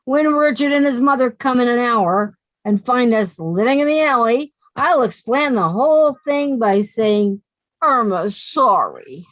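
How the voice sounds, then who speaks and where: A woman's voice: fairly high pitch, expressive, measured pace, no room sound, low-quality recording. A woman playing a sensible, exasperated young working woman with a sarcastic edge in a 1950s-style radio comedy. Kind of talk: 1950s radio sitcom acting.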